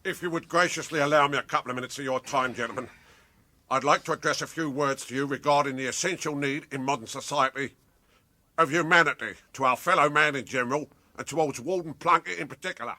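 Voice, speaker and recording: A grandiloquent British convict in a radio comedy. His voice is fairly high and bright, very dry in sound, and he orates with florid, theatrical pomposity. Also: fast talker, no echo. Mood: courtly. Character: florid, pompous male convict turned mock advocate.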